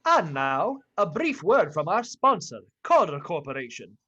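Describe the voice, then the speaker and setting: A woman's voice: low voice, big pitch swings, unhurried, fairly bright tone, husky. A woman reading scripted narration as the narrator of a radio play.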